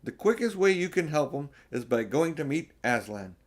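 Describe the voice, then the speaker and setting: A man's voice: a little high, big pitch swings, moderate pace. A man voicing an earnest, cautious male beaver in a storybook, explaining things in an emphatic, folksy way. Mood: earnest, urgent. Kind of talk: storybook character voice.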